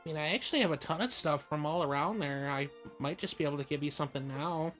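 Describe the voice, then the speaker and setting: A woman's voice: low-pitched, quick pace, soft background music, close to an everyday voice. Stammering, easygoing young adventurer played by a woman. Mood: puzzled. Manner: stammering, hesitant, conversational. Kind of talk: improvised comedic fan dub.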